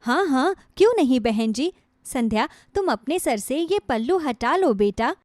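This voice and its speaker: A woman's voice: sits high, expressive, a little slow, a little mellow, no room sound. A reassuring, devoted middle-aged mother from a cartoon moral tale, performed by a woman. She talks in an earnest, soothing way. The recording is dry. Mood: obliging. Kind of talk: Hindi moral story cartoon acting.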